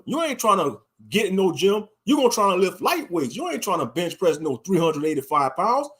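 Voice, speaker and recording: Male livestream host, Louisiana accent, somewhat high voice, casual and colloquial, repeats himself for emphasis. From Louisiana, United States. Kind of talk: casual livestream commentary reading viewer comments.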